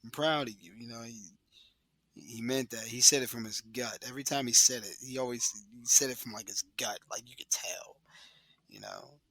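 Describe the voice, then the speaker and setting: Bright-voiced man, soft and reflective. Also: mid-range voice. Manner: reflective, pausing, soft-spoken with restarts. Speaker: guest. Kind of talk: casual conversation.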